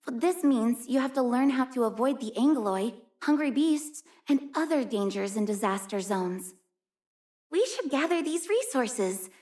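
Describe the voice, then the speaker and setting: A woman voicing an earnest, gentle young female snow-rescue worker in a video game, speaking in a caring, polite manner. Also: high-pitched. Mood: alert, helpful. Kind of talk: video game character voice lines.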